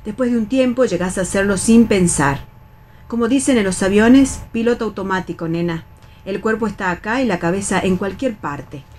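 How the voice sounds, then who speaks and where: A middle-aged Argentine actress playing a bossy, resigned, wary factory worker of nearly sixty in a radio theatre reading, instructing and admonishing colloquially. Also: female voice, mid-range voice, moderate pace, darkish tone, slight background noise, lightly acted.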